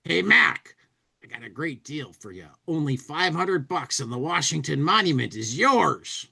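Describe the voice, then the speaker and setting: A man's voice: a little high, very animated, unhurried, creaky, bright, forceful tone, breathy edge. A man hosting a podcast, chatting casually and reading comic lines aloud in a playful, dramatic way. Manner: acts out speech-bubble lines playfully. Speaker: podcast host reading an old humor magazine aloud.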